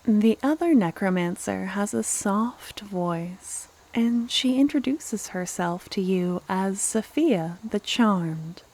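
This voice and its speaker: A woman reading scripted fantasy narration for ASMR in a soft voice. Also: medium pitch, animated, slow, few pauses, low-quality recording. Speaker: ASMR roleplay creator and narrator.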